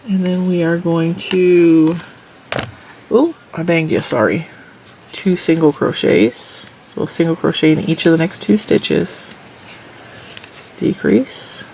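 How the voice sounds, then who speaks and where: A woman with a stuffy, congested nose talks casually while she crochets and counts off her stitches and rows. Her voice has a gravelly fry, and a faint hiss of noise sits behind it. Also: low voice, a little mellow, poor audio. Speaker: crochet tutorial host. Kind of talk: casual crochet tutorial with chat.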